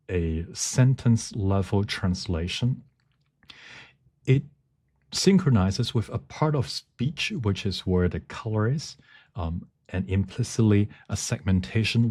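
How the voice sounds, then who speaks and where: A man giving a technical presentation, speaking haltingly as he searches for words. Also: sits low, dark tone, breathy edge. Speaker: conference presenter. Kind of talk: technical talk with live demonstration.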